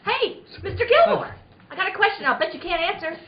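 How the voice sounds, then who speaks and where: Woman as eager little boy, old radio skit, teasing, piping high pitch, lo-fi. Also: sing-song, fairly quick. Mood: cheeky. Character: cheeky, eager little boy asking riddles. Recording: roomy, dull lo-fi recording.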